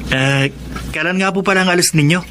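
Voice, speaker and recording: A young Filipino son from the countryside, protective and insistent. The voice is high and forceful, radio drama acting over clear background noise. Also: male voice, brisk. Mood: concerned, insistent. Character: bossy, protective, hardworking young farming-fishing son.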